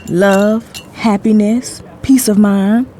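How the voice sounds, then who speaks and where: A woman's voice: mid-range voice, fairly even intonation, takes it slowly, mellow, slight background noise, natural voice. A woman playing a guarded socialite in an audio drama, coy and challenging in casual dialogue. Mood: coy, probing. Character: guarded, coy socialite testing her secret admirer.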